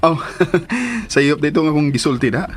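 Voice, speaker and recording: Playful young Cebuano guy, lively higher-pitched voice. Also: normal speed, slight background noise. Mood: bashful, teasing. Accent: Filipino, Cebuano. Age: 20s. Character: teasing, bashful young engineer, affectionate son and sweetheart. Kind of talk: Philippine radio drama acting.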